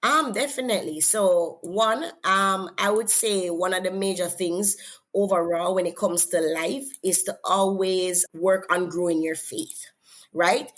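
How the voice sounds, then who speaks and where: A woman's voice: medium pitch, a bit bright. A woman with a Jamaican accent, a guest author giving encouraging, faith-centered advice in an interview. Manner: earnest, encouraging, repeats words when thinking. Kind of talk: faith-based interview talk.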